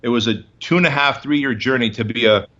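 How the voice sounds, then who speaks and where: A man's voice: mid-range voice, fairly quick, bright, forceful tone, dull audio. A man in his forties, a guest in an interview, telling his story in emphatic, punchy short phrases. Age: 40s.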